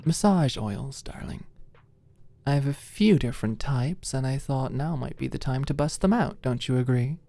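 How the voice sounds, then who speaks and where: A woman playing a confident, doting, teasing girlfriend in an intimate ASMR roleplay, soft and playful. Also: a bass voice, normal speed, no room sound. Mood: tender, soothing.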